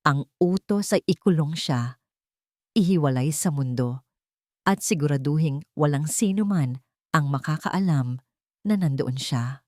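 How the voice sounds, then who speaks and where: A woman's voice: low voice, unhurried, long pauses, mellow, dry recording, studio quality. A woman voicing a tired, grave elderly former nurse in an audio romance drama, confiding in a weary voice. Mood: grave, haunted. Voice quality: weary, trembling.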